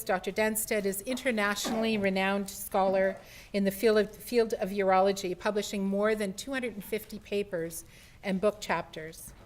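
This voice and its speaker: A woman of middling pitch welcomes an evening audience and reads out a speaker's introduction. Her delivery is polished and formal, the measured tone of a scripted host addressing a lecture hall.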